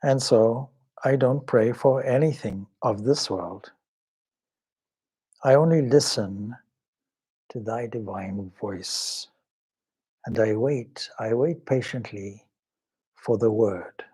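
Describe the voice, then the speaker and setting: A man leading a guided meditation as a swami, speaking in a measured, solemn, devotional way with pauses between short phrases. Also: mid-range voice, mellow, husky.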